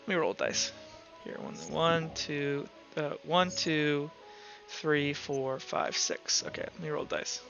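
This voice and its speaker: A man's voice: fairly high pitch, fairly even intonation, slow pace, creaky, a bit bright, muffled recording, faint music behind. A man working as game master, casually improvising narration for a tabletop roleplaying game.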